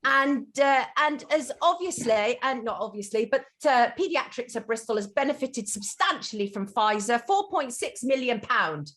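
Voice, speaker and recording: A woman hosting an interview show, talking briskly and emphatically. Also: fairly high pitch, lively intonation, a bit bright. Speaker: show host. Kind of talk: interview chat.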